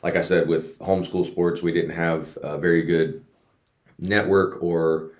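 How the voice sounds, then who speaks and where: A man's voice: low-pitched, flat delivery, unhurried. A man raised in the United States, a race car driver, telling his story earnestly as a guest in a casual podcast interview. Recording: roomy echo.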